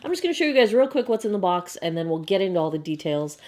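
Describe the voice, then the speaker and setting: A young woman with a hoarse, croaky voice, chatting casually as she unboxes beauty products to camera. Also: lowish voice, sing-song, a little mellow. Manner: chatty, bubbly, quick asides. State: hoarse, frog in throat. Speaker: beauty vlogger.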